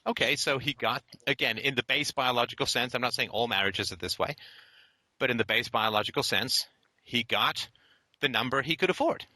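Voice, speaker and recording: Forceful, lecturing male call-in host. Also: medium pitch, a little fast, no echo. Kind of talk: blunt philosophical call-in talk.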